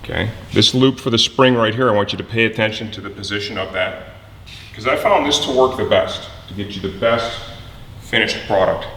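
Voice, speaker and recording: A man presenting a hands-on mechanical how-to, instructive and often checking in with a quick "okay". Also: lowish voice, a bit bright, big-room sound, a little hiss or hum, low-quality recording.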